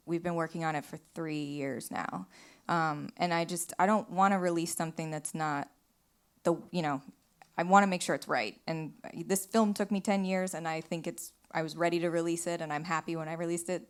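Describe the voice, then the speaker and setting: A woman's voice: low voice, smooth. A woman film director speaking casually on a live panel, telling stories in a rambling, conversational way. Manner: rambling storytelling, frequent "like" and "you know". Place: small theater, live panel.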